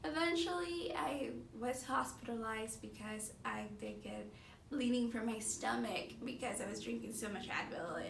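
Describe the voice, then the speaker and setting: A woman's voice: mid-range voice, vocal fry, a bit bright. A woman of thirty-two from Mexico City and the Bay Area, giving an earnest, unscripted personal testimonial in a conversational, emphatic way. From born in Mexico City, grew up in the San Francisco Bay Area. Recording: echoey room, distant mic, faint noise.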